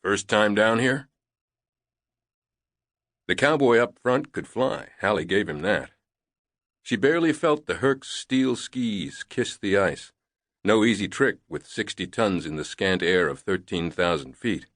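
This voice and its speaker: A man narrating a thriller novel in a measured, deliberate reading on a close studio mic. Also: lowish voice, lively intonation, fairly bright tone, no room sound. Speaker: audiobook narrator.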